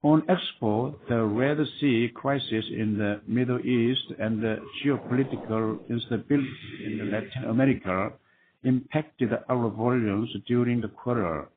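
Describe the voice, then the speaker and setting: A man speaking as a managing director, giving formal, scripted earnings call remarks in halting phrases. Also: mid-range voice. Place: conference call. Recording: tinny phone line with clear noise.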